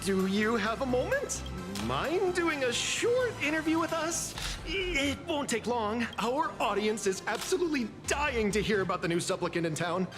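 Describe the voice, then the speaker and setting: Eager, polished game interviewer, acted: very animated delivery over clear background music. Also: medium pitch, moderate pace, natural voice. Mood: eager, professional. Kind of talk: anime game acting as TV interview.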